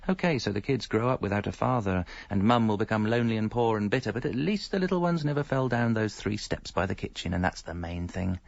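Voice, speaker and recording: A man's voice: on the low side, lively intonation, fast, gravelly, few pauses, dry recording, dull audio. An actor in his thirties with an English accent playing a wry, self-pitying separated father in a radio comedy drama. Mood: wry, downcast. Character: separated young father, wry, lonely and exasperated. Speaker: lead character and narrator. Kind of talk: radio comedy drama, wry first-person narration and dialogue.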